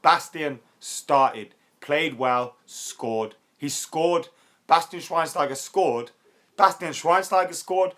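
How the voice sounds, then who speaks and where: A young man with a hoarse, worn voice, hosting a live football chat stream, chatty and excitable and quick to laugh. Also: on the high side. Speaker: YouTube football channel host.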